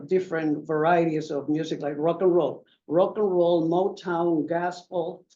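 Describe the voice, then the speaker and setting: A man in his seventies, a veteran DJ on a conference panel, remembering his early years in an earnest, halting way. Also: a little high, darkish tone, lo-fi sound. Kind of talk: reminiscing at a panel. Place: conference room.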